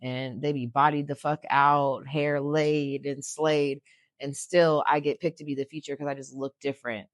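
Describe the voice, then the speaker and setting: A young woman with a raspy voice, chatting bluntly and jokingly, swearing freely, as a podcast co-host. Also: deep voice, level tone, normal speed, dry recording. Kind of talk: casual chat.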